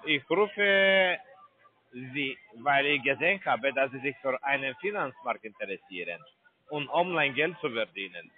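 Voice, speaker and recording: A man with a foreign accent making a sales pitch on a phone call in broken, halting German. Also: animated, breathy edge. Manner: broken halting German, many restarts and fillers. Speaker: scam call center agent. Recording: tinny phone line.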